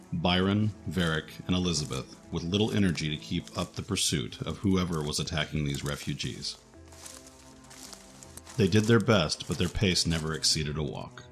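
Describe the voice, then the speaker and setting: A man's voice: low voice, normal speed, faint music behind. A man reading scripted narration for a fantasy adventure story.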